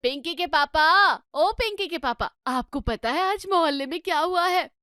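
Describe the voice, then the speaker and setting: A woman acts out an excitable middle-aged housewife in a comedy drama. Her voice is very high and dry. She gossips with relish, nags and scolds in a dramatic, exclamatory way. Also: moderate pace, no echo, exaggerated voice. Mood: gossipy, excited. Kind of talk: Hindi comedy story dramatisation.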